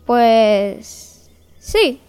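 A woman's voice: a little high, very animated, drawn-out words, darkish tone, clear, resonant tone, soft background music, full character voice. A woman playing a mild, easily flustered fairy-tale narrator in a comic audio story, hesitant and accommodating.

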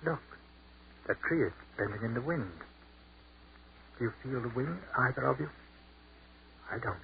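Intense captain, sweeping pitch, old radio. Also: male voice, animated, gravelly. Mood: solemn. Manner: intense, halting, dramatic. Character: earnest army captain, awestruck by the afterlife. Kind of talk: 1940s radio horror drama acting. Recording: muffled, hissy 1940s radio recording.

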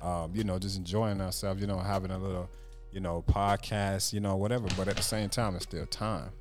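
Deep voiced guy, rambling host at a podcast table, music going in the background. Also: fairly even intonation, a little fast. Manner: rambling, loose, repeats "you see what I'm saying". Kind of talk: casual chat. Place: small room, group podcast around a table.

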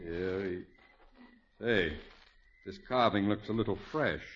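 An actor playing a vain, pompous neighbor who is quick to sue and to suspect, in a theatrical 1950s radio comedy style. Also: male voice, deep voice, a crowd in the background. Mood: suspicious. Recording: vintage radio recording, narrow band, hiss.

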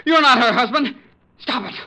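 An actor playing an agitated young man who haltingly relives a killing under questioning, in 1950s radio drama style. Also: very high voice, pushed and bright, exaggerated voice. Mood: angry, alarmed. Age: young adult. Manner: halting, stammering, repeating himself. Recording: old radio broadcast recording.

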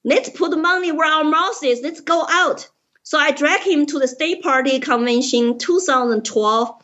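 A woman with a Chinese accent tells her story in a slightly high voice, earnest and forceful in her emphasis. The audio is dull and lo-fi, as if muffled. She speaks in plain, direct sentences. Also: moderate pace. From China. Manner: earnest, emphatic, simple grammar. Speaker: political candidate, guest. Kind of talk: interview storytelling.

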